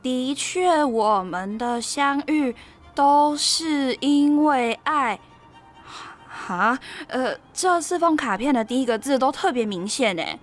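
A woman's voice: high-pitched, lively intonation, slow, faint music behind. A woman with a Taiwanese Mandarin accent playing an eager, inquisitive young housemate in an audio drama. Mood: intrigued.

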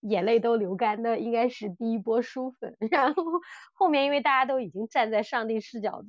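A woman with a slightly high voice chats as a podcast co-host. She circles through repeated phrases in a casual, halting way. The recording is dry and a little dull. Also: no room sound.

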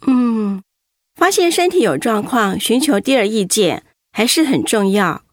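A woman's voice: a little high, expressive, unhurried, no room sound, studio quality. An actress playing an attentive, encouraging female radio host in an audio drama. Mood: attentive, chatty. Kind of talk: radio drama acting as presenter.

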